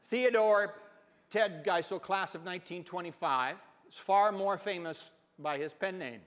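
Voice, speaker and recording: High-voiced male storyteller, reverberant hall. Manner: deliberate, expressive storyteller's delivery. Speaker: professor giving a dramatic reading. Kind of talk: theatrical stage reading and presentation. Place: stage in a hall, indoors.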